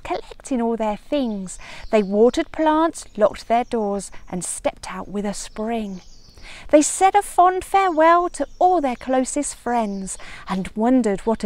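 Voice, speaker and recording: Female early years reader for picture books: expressive, slow-paced, fairly high pitch. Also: dry recording. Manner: expressive, measured storytelling. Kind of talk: children's storybook read-aloud. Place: outdoors in a leafy woodland garden.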